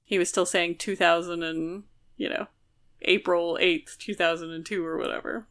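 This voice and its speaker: A woman's voice: fairly low pitch, steady tone, moderate pace, a bit bright. A woman co-hosting a podcast, chatting casually and informally about a book. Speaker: podcast co-host.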